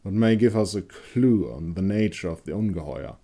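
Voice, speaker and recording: Determined male beast hunter acted by a man, commanding, deliberate, dynamic intonation, dark fantasy audio tale. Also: low voice, expressive. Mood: reasoning. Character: bold, persuasive, determined male monster hunter. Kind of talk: dramatic fantasy story reading.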